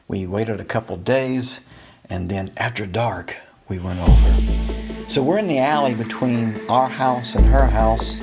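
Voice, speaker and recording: A middle-aged American man with a folksy, animated manner tells a personal anecdote to listeners. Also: mid-range voice. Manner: folksy, animated, acts out dialogue. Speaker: storyteller. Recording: music behind the voice.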